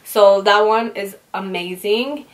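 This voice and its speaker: A woman's voice: medium pitch, slow pace. Chatty young woman vlogger. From California, raised in Utah. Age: 20s. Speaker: beauty vlogger. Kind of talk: casual get-to-know-me Q&A.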